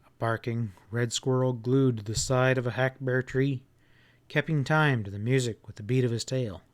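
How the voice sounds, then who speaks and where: A man's voice: mid-range voice, fairly even intonation, normal speed. Folksy man narrating a story. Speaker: audiobook narrator. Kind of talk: expressive first-person story narration.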